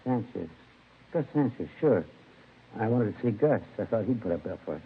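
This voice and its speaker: An actor playing a hungover American laborer in a 1950s radio crime drama, slangy, aggrieved and rambling. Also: male voice, fairly low pitch, animated, normal speed, breathy edge, phone-quality sound, heavily acted. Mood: groggy, recollecting. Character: grumbling, hungover male laborer in jail. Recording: thin, faintly noisy vintage radio recording.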